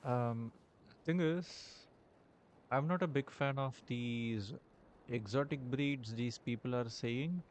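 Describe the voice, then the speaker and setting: A man with an Indian accent chatting in character during a roleplay game, halting and full of fillers. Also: medium pitch, a little hiss or hum. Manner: hesitant, halting, many fillers. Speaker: roleplay streamer.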